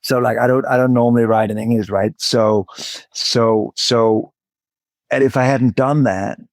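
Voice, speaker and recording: A man, a guest author on a podcast, talking casually in halting stops and restarts. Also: mid-range voice, steady tone, no room sound. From Denmark. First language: Danish. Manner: halting, repeats words, swears casually.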